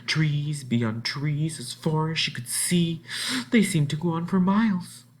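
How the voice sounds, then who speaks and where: A man narrating a children's book aloud in an expressive storytelling style, with dramatic phrasing and drawn-out words. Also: on the high side.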